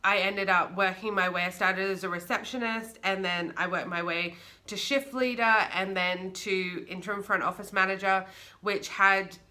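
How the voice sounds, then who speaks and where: An Australian woman in her thirties, chatting casually to her viewers about her life with a croaky voice and plenty of fillers. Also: medium pitch, steady tone, normal speed, bright, forceful tone. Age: 30s. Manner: chatty, rambling with many fillers. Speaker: vlogger. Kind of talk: casual chat to camera.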